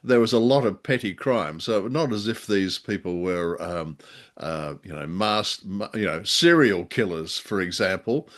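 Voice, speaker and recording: A man co-hosting a casual discussion, speaking haltingly with a wry, chuckling humor. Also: low voice, expressive, fairly bright tone. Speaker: show co-host. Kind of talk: casual discussion of an old radio drama.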